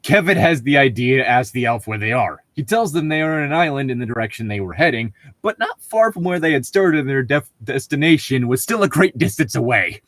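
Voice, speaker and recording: Male co-host, mid-pitched and highly animated, casual story reading and banter, readily amused. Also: a little fast, hardly any pauses, no echo. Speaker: podcast co-host.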